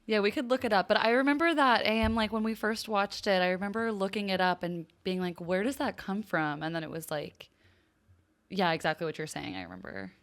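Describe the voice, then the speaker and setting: Female podcast co-host, mid-pitched, conversational and effusive. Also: a little fast. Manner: effusive reactions, gushing. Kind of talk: casual TV recap podcast chat.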